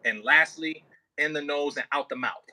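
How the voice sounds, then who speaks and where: A man leading a voice warm-up as a coach, explaining casually and haltingly with plenty of filler words. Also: a little high, poor audio. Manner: informal, halting explanations with many "like" and "kinda" hedges. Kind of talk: casual hands-on coaching over a video call.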